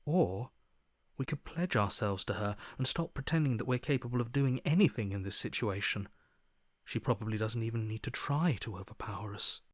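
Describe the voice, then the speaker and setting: A man's voice: medium pitch, animated, normal speed, no room sound, studio quality, lightly acted. An actor voicing a defeated, fatalistic man in a video game, subdued and mournful, with breath at the ends of phrases. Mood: hopeless, resigned. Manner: resigned, mournful, bitterly pessimistic. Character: despairing, defeated man, broken and fatalistic inner voice. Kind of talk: dramatic video game voice acting.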